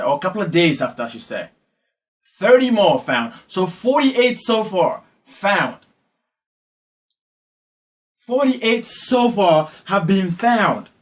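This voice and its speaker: A man's voice: high voice, a bit bright. A man from Ghana with a Ghanaian accent giving spoken social commentary, emphatic and repeating phrases for effect. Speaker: online commentator.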